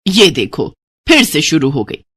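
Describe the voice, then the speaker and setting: A woman voicing a strict middle-aged mother who nags and grumbles in a lowered voice. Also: very animated, a little slow, fairly bright tone, slight background noise, heavily acted. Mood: grumbling. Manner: nagging, grumbling.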